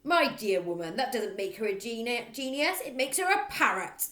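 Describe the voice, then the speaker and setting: A woman voicing a bullying middle-aged headmistress in a storybook, who barks and shouts with open contempt. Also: fairly high pitch, sing-song, normal speed, reverberant, voice pushed up. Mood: snapping, scornful.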